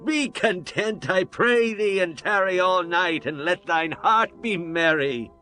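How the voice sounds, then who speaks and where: A man's voice: high voice, takes it slowly, bright, forceful tone, faint music behind, heavily acted. An actor playing a genial, insistent biblical father-in-law, entreating in archaic speech in a dramatized scripture reading. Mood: warm entreaty.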